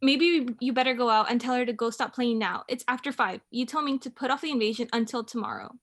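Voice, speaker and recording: A woman's voice: fairly high pitch, fast talker. A woman playing a frightened wife and mother in a radio drama, pleading and repeating herself, desperate to hide. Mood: nervous.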